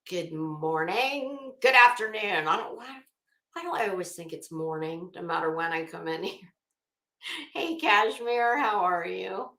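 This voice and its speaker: A woman's voice: lowish voice, lively intonation, a little slow, bright, forceful tone. A middle-aged woman chatting casually as a livestream host, greeting viewers with teasing, salty banter. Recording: echoey room.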